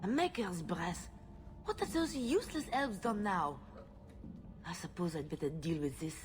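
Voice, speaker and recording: A harried female household chief from a fantasy game, played by an actress. She is brusque and irritable, with lively, jumping intonation and faint music underneath. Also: lowish voice, normal speed, close to an everyday voice. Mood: exasperated. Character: harried, snappish female chateau household servant-in-charge. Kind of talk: video game voice acting.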